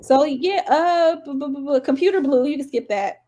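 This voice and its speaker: High-voiced woman chatting about music. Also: moderate pace, mellow. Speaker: podcast co-host. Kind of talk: casual music discussion.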